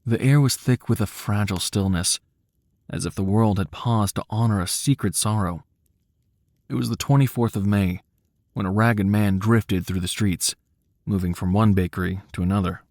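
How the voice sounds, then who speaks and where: A male storyteller with a low voice, recorded close and dry. He narrates in a cinematic, dramatic style, measured and hushed, with solemn weight. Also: no echo.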